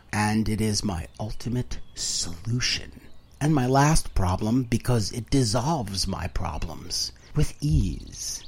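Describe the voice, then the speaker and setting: A man narrating a literary audiobook in a wry, dramatic, deliberately phrased way, moving between emphatic and hushed delivery. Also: on the low side, husky.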